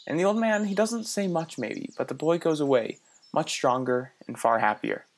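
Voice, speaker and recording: A man's voice: fairly high pitch, expressive. A man playing an earnest young city visitor. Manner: earnest, halting with small stammers. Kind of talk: radio play acting.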